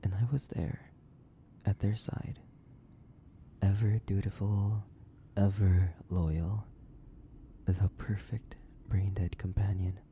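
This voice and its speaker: Man voicing a slow, gravelly, sadistic vampire. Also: lowish voice, warm tone, a little hiss or hum. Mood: wry storytelling. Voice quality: gravelly, breathy, often dropping to a whisper. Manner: slow, theatrical, savoring, condescending. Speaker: audio roleplay voice actor. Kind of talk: horror audio roleplay monologue.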